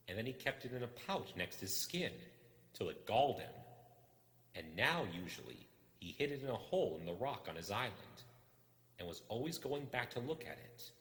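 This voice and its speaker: A man narrating a suspenseful story in a deliberate, theatrical manner. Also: medium pitch, big pitch swings, fairly bright tone. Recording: echoey room with long reverb.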